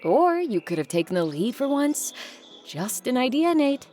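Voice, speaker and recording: A woman's voice: high-pitched, big pitch swings, moderate pace, mellow, soft background music. A woman playing a guarded, blunt adult daughter of a wealthy family in an audio drama, with a dry, curt way of talking. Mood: wry, uneasy. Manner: dry, curt, bossy with siblings.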